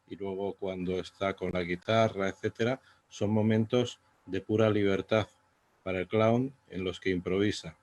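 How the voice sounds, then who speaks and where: Low, monotone Spanish man, halting. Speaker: playwright. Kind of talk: post-show Q&A talk.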